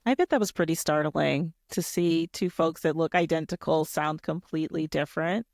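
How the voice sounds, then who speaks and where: A woman's voice: low voice, normal speed, a little mellow, dry recording, clean studio sound. A woman chatting casually as a podcast co-host. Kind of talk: casual chat.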